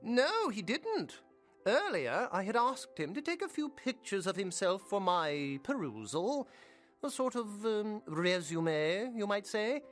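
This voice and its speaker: A man's voice: sits high, big pitch swings, slow pace, a bit bright, soft background music, exaggerated voice. An actor playing a fussy, politely obsessive and creepy male shop owner in a video game, with genteel, fluttery, hesitant speech. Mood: polite, evasive. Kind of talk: video game character acting.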